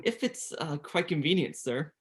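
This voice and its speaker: A man's voice: a little high, big pitch swings, normal speed. A man acting a meek, timid clerk in a radio play, hesitant and deferential.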